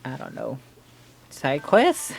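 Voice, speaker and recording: A woman's voice: extremely low pitch, huge pitch swings, slow pace, vocal fry, husky. A woman game streamer giving casual, amused live commentary in short interjections. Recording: game music behind.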